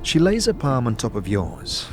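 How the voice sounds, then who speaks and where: Casting: male storyteller for fantasy audio drama, medium pitch, measured scripted narration, prominent music bed. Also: huge pitch swings. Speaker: narrator and game master.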